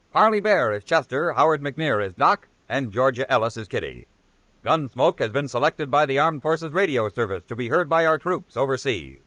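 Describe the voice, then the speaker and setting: A man's voice: medium pitch, fairly bright tone, no echo. A man announcing in a scripted 1950s radio style, reading credits and promotions.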